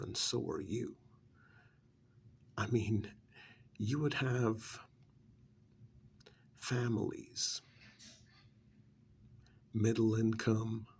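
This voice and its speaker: A man's voice: on the low side, vocal fry, slightly rough, exaggerated voice. A man doing an ASMR role-play as a cynical, persuasive recruiter for vampires, sardonic and full of prodding rhetorical questions. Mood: wistful, persuasive.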